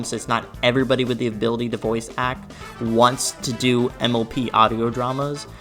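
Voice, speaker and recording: A person delivering a scripted, opinionated video essay in an expressive, emphatic way with conversational asides. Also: mid-range voice. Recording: music behind the voice. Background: background music.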